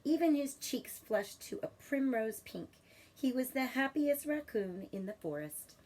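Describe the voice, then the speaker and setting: Higher-pitched, slightly husky woman reading aloud. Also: lively intonation, unhurried, darkish tone, rough recording. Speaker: teacher reading a bedtime story. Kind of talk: expressive children's read-aloud with questions.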